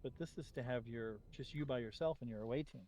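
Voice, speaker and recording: A man's voice: medium pitch, fast talker, a crowd in the background. A man in casual conversation with fellow gamers on a group voice chat. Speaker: gamer on a group gaming podcast. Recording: noisy voice-chat with clear background hum.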